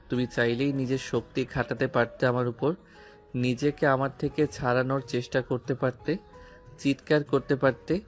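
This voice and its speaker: A man plays a self-assured young policeman husband in an audio love story. His mid-range voice is deliberate and domineering, peppered with English phrases, over faint background music. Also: mid-range voice, close to an everyday voice. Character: commanding, smooth-talking young policeman husband, possessive and teasing. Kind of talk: audio romance story acting.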